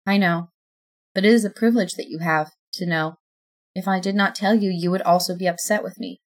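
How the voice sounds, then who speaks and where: A woman voicing Death, an ageless, solemn being who speaks in a flat, even tone. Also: fairly low pitch, fairly quick, natural voice. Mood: flat, gentle.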